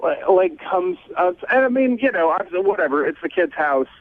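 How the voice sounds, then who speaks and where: A man's voice: sits high, sing-song, normal speed, no echo. Hesitant male caller on a tinny phone. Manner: hesitant, evasive. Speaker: caller on a radio show. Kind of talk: casual storytelling. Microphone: phone call. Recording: narrow tinny phone line, dry.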